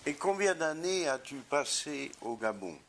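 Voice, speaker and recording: A man's voice: a little high, big pitch swings, measured pace, a bit bright. A man introducing a documentary segment and asking interview questions, with a few hesitations.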